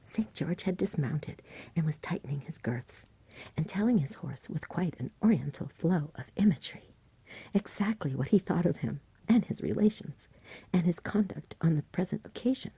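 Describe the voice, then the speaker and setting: A woman reading a story in a hushed, soothing ASMR whisper, close to the microphone. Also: lowish voice, animated, moderate pace, no room sound. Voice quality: breathy whisper. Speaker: ASMR reader.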